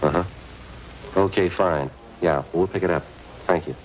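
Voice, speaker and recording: An actor playing a police detective who narrates in a clipped, matter-of-fact way in a 1950s radio drama. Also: male voice, low voice, normal speed, a little hiss or hum, close to an everyday voice, low-quality recording. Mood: flat. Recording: old radio broadcast with music bed.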